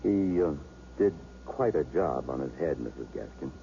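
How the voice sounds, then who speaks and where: Blunt hospital doctor: very deep, mellow, measured; dull vintage radio drama recording. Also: male voice, animated, creaky, exaggerated voice. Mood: matter-of-fact. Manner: measured, matter-of-fact. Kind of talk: 1970s radio drama acting. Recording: dull old radio recording with faint hiss.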